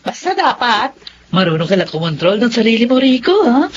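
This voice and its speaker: Casting: breezy gambling friend, high lively voice, coaxing, Filipino radio drama. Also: female voice, brisk. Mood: breezy, advising. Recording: muffled, noisy radio drama recording.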